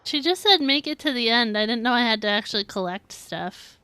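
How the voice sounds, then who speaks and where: A woman's voice: fairly high pitch, animated, brisk, bright, forceful tone, clear, resonant tone, dry recording. A woman streaming a video game with casual, giggly, self-deprecating commentary. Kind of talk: casual live gameplay commentary.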